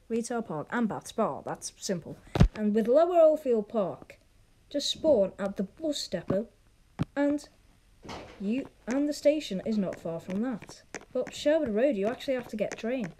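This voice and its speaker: High, animated chatty game vlogger. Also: normal speed. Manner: rambling, chatty, opinionated. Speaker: video host. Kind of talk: casual gaming vlog commentary. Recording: clearly noisy home recording with clicks.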